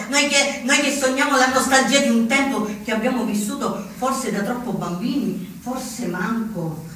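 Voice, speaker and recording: An actor playing an agitated, furtive neo-fascist in a halting theatrical monologue, repeating himself insistently. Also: male voice, very high-pitched, pushed and bright, exaggerated voice. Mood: nostalgic, scheming. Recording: echoey distant stage recording, faint noise.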